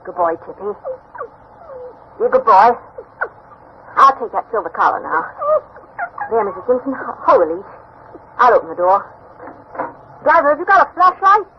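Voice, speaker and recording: Determined lady reporter, high swoopy voice, bossy and urgent, old radio play. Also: very animated, moderate pace, vocal fry, long pauses, heavily acted. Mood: brisk. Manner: urgent, commanding. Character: brisk, determined woman newspaper reporter. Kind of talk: 1940s radio drama acting. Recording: muffled, noisy 1940s radio broadcast.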